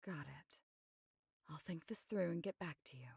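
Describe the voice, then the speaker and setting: A woman voicing a calm, reserved, thoughtful ally in an anime game. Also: low-pitched, moderate pace, vocal fry, slightly rough, no room sound, clean studio sound, full character voice. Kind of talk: English anime game voice acting.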